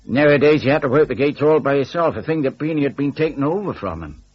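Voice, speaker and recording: A man's voice: mid-range voice, expressive, fast talker, husky, no echo. A man narrating a scripted first-person story in a wistful, reflective way. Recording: dull, band-limited audio.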